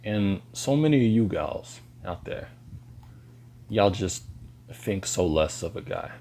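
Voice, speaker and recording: A man, lecturing bluntly in a halting monologue to camera. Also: animated, vocal fry, mellow, a little hiss or hum. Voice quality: deep voice. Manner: slow and halting, pauses and restarts. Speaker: vlogger.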